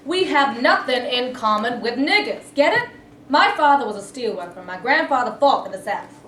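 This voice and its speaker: A woman's voice: a little high, lively intonation, moderate pace, a bit bright. A woman playing a hostile, bigoted union vice president in a staged play reading. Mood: hostile, scornful. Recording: echoey room, distant mic, faint noise.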